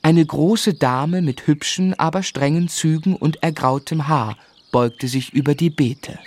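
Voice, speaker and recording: An actor playing a grown man who wistfully recalls his hard boyhood in literary first-person radio play narration. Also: a little high. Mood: weary, descriptive. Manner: literary, reflective, measured storytelling.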